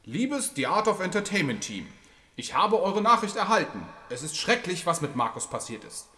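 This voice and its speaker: A man's voice: sits high, big pitch swings, moderate pace, noisy background. A man playing an earnest, sensible podcaster caught up in an amateur sci-fi audio drama. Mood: reading aloud. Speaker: podcast co-host.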